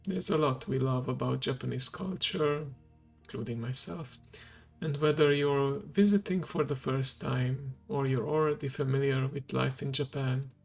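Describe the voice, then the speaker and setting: A man whose first language is Hungarian, streaming as a VTuber in a soft ASMR style, speaking slowly and quietly and slipping into a whisper. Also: mid-range voice. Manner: slow, hushed and gravelly, drifting into whisper. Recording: faint background music.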